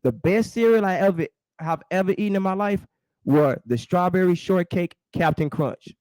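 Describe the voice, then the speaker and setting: A man's voice: sits high, moderate pace, a little mellow, no room sound, poor audio. Laid-back man in his forties. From Dallas, Texas. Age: 40s. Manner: laid-back, slangy storytelling. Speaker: show host. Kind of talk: casual podcast chat.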